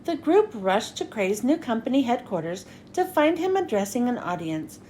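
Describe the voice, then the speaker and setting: A woman reading a children's story aloud in an expressive, dramatic storytelling style. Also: on the high side, normal speed, slight background noise. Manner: expressive, dramatic with character lines. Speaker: children's book reader. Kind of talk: animated read-aloud storytelling for kids.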